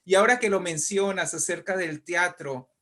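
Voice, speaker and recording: A man talking casually as an invited guest in an interview, talkative and halting, often ending phrases with short tag questions. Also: high voice, fairly bright tone. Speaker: invited guest, writer and poet.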